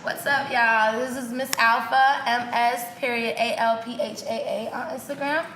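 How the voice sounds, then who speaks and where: A woman's voice: a little high, fairly even intonation, moderate pace, sharp tone, reverberant, a little hiss or hum, rough recording. A young woman from Argentina whose first language is Spanish, a model and actress interviewed in a loft, bubbly and chatty with lots of "like" and "you know". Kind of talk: casual interview. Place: loft with big windows.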